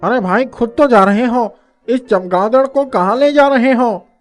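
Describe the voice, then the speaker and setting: Man playing high-pitched agitated village man. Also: a little slow. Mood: curious. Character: agitated male villagers and priest in a folk tale. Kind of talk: animated moral story dubbing.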